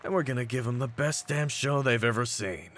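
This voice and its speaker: A man voicing a cocky, brawny pit-fighting champion in a roleplay audio, with a deep voice and streetwise, mocking swagger. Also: fairly quick, fairly bright tone, close to an everyday voice. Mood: proud, hyped. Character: cocky, brawny half-breed pit-fighting champion, hyping his partner. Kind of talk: scripted in-character roleplay audio.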